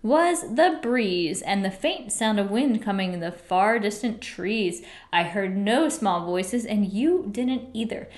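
A woman's voice: medium pitch, animated, slow pace, few pauses. A woman voicing a big, bossy female kangaroo in a children's storybook, haughty and snappish, stretching words for emphasis. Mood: dismissive.

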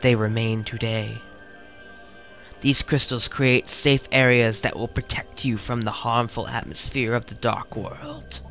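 A man voicing a solemn dead alien temple sentinel with a put-on British accent, reading in a grave, measured, formal way. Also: mid-range voice, steady tone, sharp tone, soft background music.

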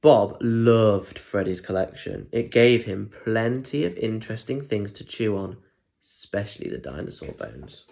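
Young british guy doing story time for kids, very animated pitch, takes his time. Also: measured pace, gravelly. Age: young adult. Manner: expressive, emphatic, addresses listeners directly. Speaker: primary school story reader. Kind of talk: animated children's picture-book reading with asides. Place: small indoor room at home. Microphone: phone or tablet at arm's length.

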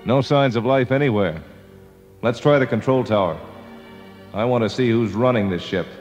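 A decisive spaceship captain played by a man talks briskly and firmly in a mid-range voice. It is a storybook space drama with clear music underneath. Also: mid-range voice, a little mellow, lo-fi sound, close to an everyday voice.

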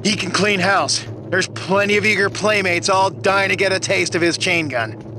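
An actor playing a decisive squad leader in a game cutscene, urgent and commanding. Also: male voice, sits high, moderate pace, lightly acted. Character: decisive squad leader soldier amid battle. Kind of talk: video game cutscene acting. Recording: loud vehicle and battle noise.

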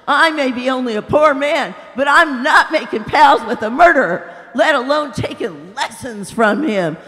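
A woman voicing the angry, blustering ghost of a man, shouting in indignation. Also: high voice, expressive, moderate pace, voice pushed up. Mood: indignant. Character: bitter, quarrelsome man's ghost, blustering. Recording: echoey hall.

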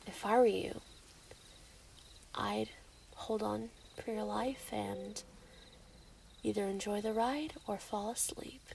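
Woman acting a slow, breathy, obsessive werewolf lover. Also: medium pitch, a little mellow, slight background noise, low-quality recording. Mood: coaxing, satisfied. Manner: breathy half-whispers, cooing, menacing. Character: obsessive, possessive young female werewolf in love. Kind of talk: ASMR yandere roleplay, intimate.

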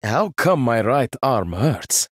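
Casting: proud young game hero, inventor-warrior, dry wit, slow deliberate delivery, lively pitch, dry close studio sound. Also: male voice, husky, dry recording, exaggerated voice. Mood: confident. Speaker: game character. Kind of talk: video game voice lines.

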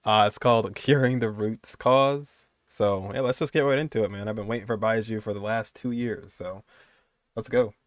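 A man's voice: lowish voice, fairly even intonation, normal speed, no echo. A young man giving casual reaction commentary as the host of a video. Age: young adult.

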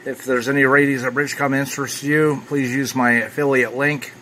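A man's voice: medium pitch, flat delivery, a little fast, pushed and bright, slight background noise, poor audio. A man giving casual, off-the-cuff unboxing commentary as a product reviewer. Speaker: ham radio reviewer.

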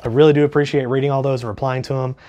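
A young man whose voice sounds stuffy and congested from a cold talks straight to the camera in a small home studio. His review is casual and earnest, sprinkled with ums and little restarts, pitched in an ordinary middle range for a man. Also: little pitch movement, rapid-fire, dark tone. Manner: chatty, filler-heavy, earnest. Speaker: gear reviewer.

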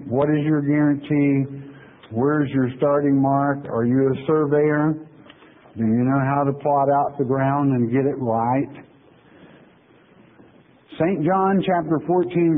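A man pastor giving a folksy, conversational sermon, reading verses slowly and then explaining them plainly, often checking in with "okay?". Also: a little high, phone-quality sound. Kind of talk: sermon lecture on scripture.

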